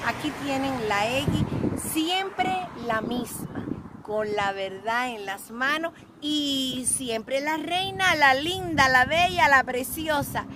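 A middle-aged woman from Cuba talks into a phone held at arm's length, outdoors beside a grassy lot. Her voice sits high and she speaks slowly and grandly, in a sing-song tone full of self-praise. Traffic and outdoor noise run clearly behind her. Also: animated. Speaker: vlogger. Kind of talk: casual self-filmed vlog.

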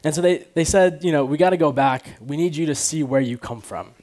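A man's voice: fairly high pitch, brisk, darkish tone. An American man of about twenty giving an informal, conversational stage talk, casually peppered with "you know" and "like". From Chicago, United States, born in Afghanistan. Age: around 20. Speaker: conference speaker. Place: large auditorium stage with audience. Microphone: headset.

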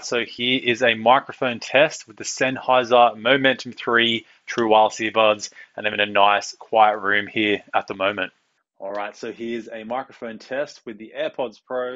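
A man in his thirties talks to camera as a gear reviewer. His voice is on the low side, caught by small earbud microphones with a slightly dull, lo-fi sound. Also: a bit bright. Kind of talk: scripted review talk to camera. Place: small quiet room, indoors. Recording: band-limited earbud microphone samples.

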